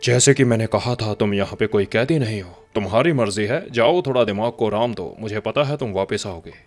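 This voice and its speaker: A man voicing a stern, protective older warrior father in a game dub, gravelly and measured. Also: fairly low pitch, faint music behind, lightly acted. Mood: calm. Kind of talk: Hindi game dub acting.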